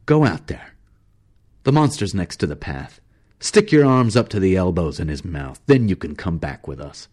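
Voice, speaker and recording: A man's voice: deep voice, lively intonation, moderate pace, a little mellow. A man voicing a furious, menacing time-safari guide in an audiobook story, barking his words with heavy emphasis. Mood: harsh, commanding.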